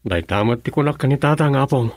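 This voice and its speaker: A medium-pitched voice of a worried young grandson, played by an actor in a radio drama. He confides earnestly, recorded close and dry. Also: lively intonation, fast, no room sound, natural voice. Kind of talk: Ilocano radio drama acting.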